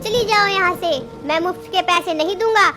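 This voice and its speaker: A woman's voice: very high-pitched, fairly quick, music in the background. A woman voicing a stingy male brick kiln owner who scolds a worker in a cartoon story. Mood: gruff.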